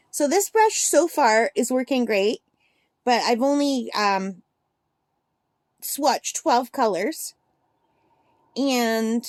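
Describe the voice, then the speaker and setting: Lady swatching paints, kinda high voice, a bit gravelly, dry close sound, gushes over every color. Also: a little mellow, no echo. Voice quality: slightly gravelly. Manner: chatty and gushing, thinks aloud. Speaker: art supply reviewer. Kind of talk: casual swatching demo.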